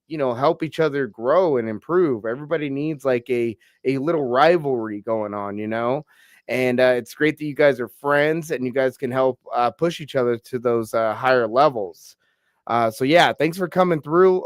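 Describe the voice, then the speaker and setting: Stuffy-nosed podcast host, smooth dry voice. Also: male voice, mid-range voice, no room sound. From Pacific Northwest, US. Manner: laid-back, lots of fillers and "bro". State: stuffy from a cold. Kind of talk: casual interview chat.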